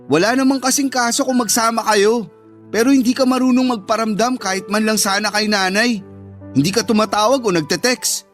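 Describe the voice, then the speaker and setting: Casting: scolding Filipino younger brother, high-pitched, emphatic, wounded sense of duty, radio drama. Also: male voice, a little fast, a bit bright, faint music behind. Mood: indignant. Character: indignant, reproachful younger brother, dutiful young adult son.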